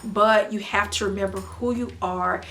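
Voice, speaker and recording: A young woman from the United States talking casually to her viewers as a mother, earnest and faith-filled, with playful animated bursts. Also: medium pitch, moderate pace, a little hiss or hum. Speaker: vlogger and mom. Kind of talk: casual talk to camera.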